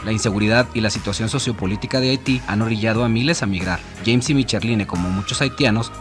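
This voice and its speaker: Brisk low-voiced news narrator, man. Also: music in the background. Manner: brisk, matter-of-fact. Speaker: reporter narrator.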